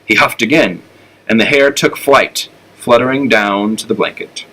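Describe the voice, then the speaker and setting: A man's voice: medium pitch, moderate pace, pushed and bright, rough recording. A man with an American accent narrating a children's storybook aloud. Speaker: elementary school teacher reading aloud.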